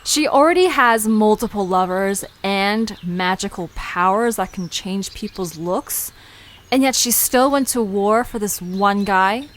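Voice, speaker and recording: A woman's voice: medium pitch, measured pace, lightly acted. A woman playing a curious, eager, chatty young visitor in a conversational audio drama. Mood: incredulous. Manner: inquisitive, reactive. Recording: background noise with outdoor ambience.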